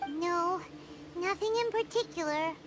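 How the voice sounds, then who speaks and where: A woman voicing a tiny, excitable, childlike fairy girl in an adventure video game, with a squeaky voice and perky delivery. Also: unhurried, noisy background. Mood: puzzled. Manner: perky, exclamatory, third-person talk. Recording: loud game music behind.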